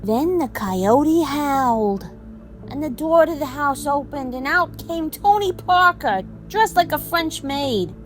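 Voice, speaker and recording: A woman's voice: high voice, expressive, slow pace, low-quality recording. A woman acting in an audio drama as an exasperated storyteller, telling a mocking fable in a theatrical way. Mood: dramatic storytelling.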